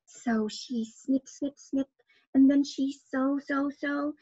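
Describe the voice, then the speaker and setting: A middle-aged woman reads a picture book aloud in a high voice, taking her time over each phrase. She tells it expressively, like a storytime reader. The recording is dry and a little dull, as from a webcam. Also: fairly even intonation, takes it slowly, dark tone, no echo. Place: small indoor room with bookshelves.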